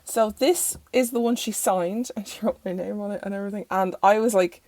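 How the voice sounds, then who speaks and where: A young Irish woman with a croaky voice from recent illness, chatting rambly through a casual review in her bedroom. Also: mid-range voice, a little fast, darkish tone, no echo. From Ireland. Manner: chatty and rambling, self-interrupting. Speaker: tarot channel host. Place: bedroom at home.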